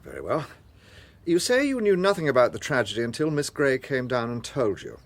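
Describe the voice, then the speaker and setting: An actor playing a shrewd, courteous gentleman police inspector in a British radio drama, with an English accent and a measured, understated delivery. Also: mid-range voice, big pitch swings. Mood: firm, questioning.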